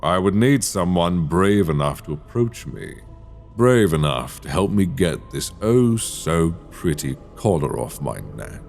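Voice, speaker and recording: A man's voice: deep voice, expressive, vocal fry, soft background music, heavily acted. A man playing an imprisoned mad dragon king, seductive, obsessive and menacing, in an intimate, teasing, theatrical ASMR roleplay delivered close to the mic. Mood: teasing, self-mocking. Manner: theatrical, teasing, drawn-out delivery with soft asides. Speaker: audio roleplay voice actor. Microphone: close binaural microphone.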